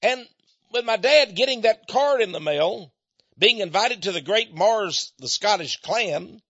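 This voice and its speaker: A man from the United States rambles through a personal monologue in a folksy, digressive way, breaking into chuckles. His voice sits a bit high. The recording is dull and lo-fi, with a dry, close sound. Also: expressive, a little slow, pushed and bright, no echo. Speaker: speaker addressing listeners.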